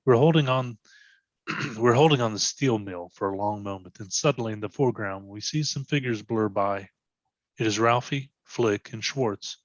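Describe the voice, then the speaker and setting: Low-voiced man narrating, dull dry recording. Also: normal speed, no echo. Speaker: reader of stage directions. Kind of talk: scripted narration for readers theatre.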